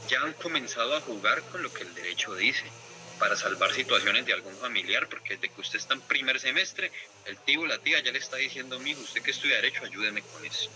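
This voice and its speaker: Man, law lecturer, measured and deliberate, mid-pitched voice. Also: bright, forceful tone, rough recording. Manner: slow, deliberate, pausing between phrases. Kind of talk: university lecture.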